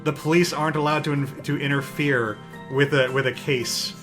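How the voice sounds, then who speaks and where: A man's voice: a little high, fairly even intonation, normal speed, loud music behind the voice. A young man with a Californian accent co-hosting a live stream, talking in a rambling, opinionated way with casual swearing. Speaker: streamer co-host.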